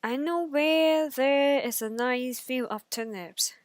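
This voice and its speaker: A sly big bad wolf from a bedtime storybook, put on by a woman. He coaxes and schemes in slow, drawn-out phrases with a forceful, menacing push. The sound is dry. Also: high voice, no echo. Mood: coaxing.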